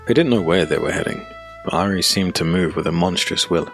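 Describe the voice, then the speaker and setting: A man narrating a scripted dark fantasy audiobook as a storyteller. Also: deep voice, brisk, faint music behind.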